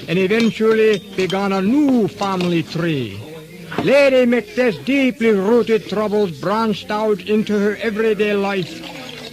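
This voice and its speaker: A man narrating a comic radio drama in a mock-solemn storytelling style. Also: high voice.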